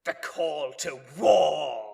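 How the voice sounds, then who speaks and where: An actor playing a cold, imperious feathered alien invader in an audio drama, gravelly and harsh, speaking slowly in formal, pompous phrases. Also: mid-range voice, animated, a little mellow, heavily acted.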